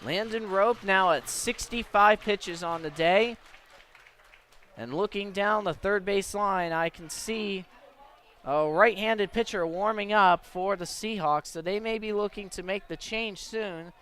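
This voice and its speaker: High-voiced American man calling baseball. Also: measured pace, a bit bright, slight background noise. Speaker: baseball play-by-play announcer. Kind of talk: live radio play-by-play commentary. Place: at a baseball stadium.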